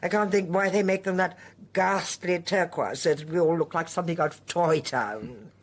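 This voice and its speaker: Husky, genteel old lady, played by an actress. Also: fairly low pitch, moderate pace, natural voice. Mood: thoughtful. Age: older. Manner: genteel, well-mannered, probing. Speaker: actor in radio drama. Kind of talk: radio drama acting.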